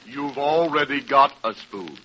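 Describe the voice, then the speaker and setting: An actor playing a stingy, folksy shopkeeper in a vintage radio comedy, needling and wisecracking with obtuse deadpan logic. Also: male voice, mid-range voice, measured pace. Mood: deadpan mocking. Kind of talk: vintage radio sitcom acting. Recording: noisy, muffled vintage radio recording.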